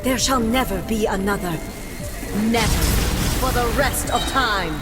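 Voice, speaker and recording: A woman's voice: on the high side, a little slow, natural voice. A woman voicing a regal divine ruler and her stern guardian, speaking in a solemn, formal way. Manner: solemn and formal, commanding battle shouts. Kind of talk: video game voice acting. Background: game music.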